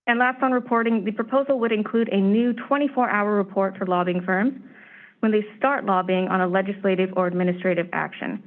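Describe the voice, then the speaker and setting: A woman staff presenter giving a formal, measured briefing on proposed legislation, her voice slightly hoarse. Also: mid-range voice. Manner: steady, measured, reads prepared remarks. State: slightly hoarse, clearing throat. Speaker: staff presenter at a commission meeting. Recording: tinny phone-line audio.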